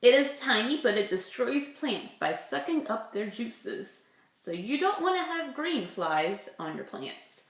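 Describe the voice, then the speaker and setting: Animated, slow-paced woman teaching little kids. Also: on the high side. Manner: asks simple questions, emphasizes and explains words for small children. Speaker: preschool teacher. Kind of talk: children's circle-time lesson and picture-book read-aloud. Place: indoors in a room at home. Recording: roomy, echoey home recording.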